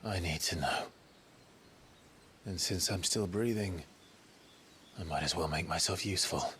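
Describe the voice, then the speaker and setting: A man playing a grim, remorseful young warrior in a video game cutscene, speaking solemnly and deliberately. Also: sits low, pushed and bright, slight background noise. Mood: remorseful, resolute.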